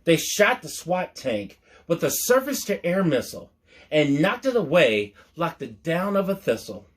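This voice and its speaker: A man's voice: fairly high pitch, sing-song, unhurried. A man reading a rhyming story aloud as a storyteller, expressively, with folksy, playful asides to his listeners.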